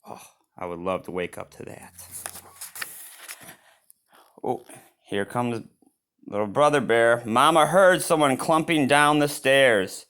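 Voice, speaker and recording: A man reads a children's storybook aloud in an expressive manner, adding his own exclaiming asides. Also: medium pitch, a little slow, smooth. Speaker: storybook reader.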